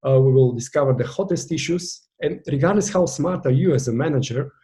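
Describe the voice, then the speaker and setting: Mellow-voiced Bulgarian man, non-native English, earnest coach presenting a masterclass. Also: fairly high pitch, fairly quick. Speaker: virtual team coach.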